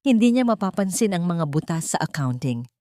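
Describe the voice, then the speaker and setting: A woman's voice: on the low side, big pitch swings, fairly quick, dark tone, no echo, studio quality. A woman voicing a scheming, greedy businessman fiancé in an audiobook drama, talking in a conspiratorial way.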